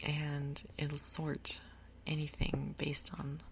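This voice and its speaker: A woman speaks very low and softly, with a whispery edge and plenty of vocal fry. She narrates her gameplay casually in an ASMR style, unhurried and thinking aloud, with little movement in pitch. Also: completely flat delivery, slight background noise, poor audio. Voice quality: soft, whispery, breathy undertone. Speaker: gaming video host. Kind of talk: soft-spoken ASMR Minecraft gameplay commentary.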